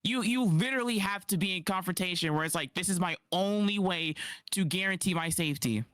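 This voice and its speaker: A man doing casual livestream reaction commentary, incredulous and quick to rebut, on a close mic. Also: sits high, smooth, no room sound. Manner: animated, incredulous, rapid rebuttals.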